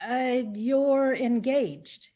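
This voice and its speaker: Nervous female investigator played by an actress, very high voice, polite and hesitant, comedic mystery play. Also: extremely slow, warm tone, full character voice. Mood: awkward, nervous. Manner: hesitant, apologetic.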